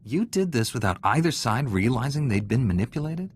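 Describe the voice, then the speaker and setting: An actor playing a calm, skeptical heroic knight in a video game, measured and dryly questioning. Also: male voice, medium pitch, slight background noise, close to an everyday voice. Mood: calm, disapproving. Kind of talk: video game character dialogue.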